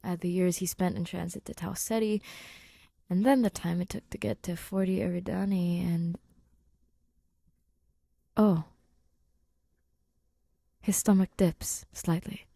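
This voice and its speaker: A woman's voice: fairly low pitch, fairly even intonation, a little slow, creaky, long pauses, dark tone, no room sound, studio quality. A woman narrating a written story expressively, as an audiobook-style reader.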